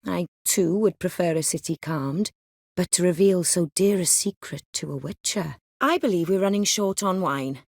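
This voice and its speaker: Poised, ancient vampire noblewoman, lowish voice. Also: moderate pace, creaky, no room sound, clean studio sound. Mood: cool, wry. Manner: poised, refined, dryly condescending. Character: ancient, aristocratic female vampire, cool, worldly and secretive. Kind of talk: video game dialogue acting.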